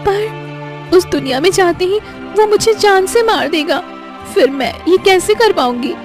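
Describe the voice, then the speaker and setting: A woman's voice: very high voice, animated, unhurried, faint music behind, pitched above the performer's own voice. A woman voicing a brave, earnest young heroine in a cartoon moral story, with dramatic, wondering delivery. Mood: anxious, resolute.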